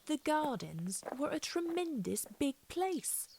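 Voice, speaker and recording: A woman's voice: high-pitched, sing-song, slow, gravelly, a little mellow, a little hiss or hum. A woman narrating a children's classic as an audiobook reader, in a scripted storybook style.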